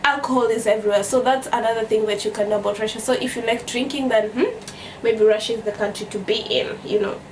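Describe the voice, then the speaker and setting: A woman's voice: a little high, fairly even intonation, fast. A young woman from Kenya with a Kenyan accent, chatting casually as a vlogger and repeating phrases for emphasis. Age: young adult. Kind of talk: casual storytime chat to camera. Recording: distant mic with background noise.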